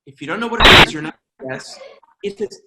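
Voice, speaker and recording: A man's voice: on the high side, wildly swooping pitch, fast, reverberant, heavy background noise. An American man with a jokey, chatty manner, hosting an online celebration as emcee and comedian. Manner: jokey, chatty, playful asides. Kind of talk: comic hosting of an online event.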